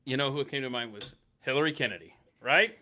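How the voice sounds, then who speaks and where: A man's voice: medium pitch, fairly quick, crowd noise. A middle-aged man hosting a casual talk show, chatting and putting questions to the others. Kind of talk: casual chat.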